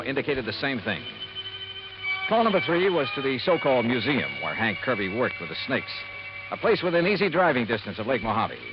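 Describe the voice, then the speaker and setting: An actor playing a sharp, steady male investigator and narrator in a 1950s radio detective drama, with clipped, probing speech. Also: medium pitch, close to an everyday voice. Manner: clipped, hard-boiled, probing. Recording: muffled vintage radio recording, music underneath.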